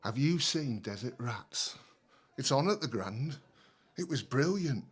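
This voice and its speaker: An actor playing a cheerful middle-aged working-class dad in a 1950s family audio drama. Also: male voice, medium pitch, lively intonation, unhurried, breathy edge. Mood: chatty, upbeat.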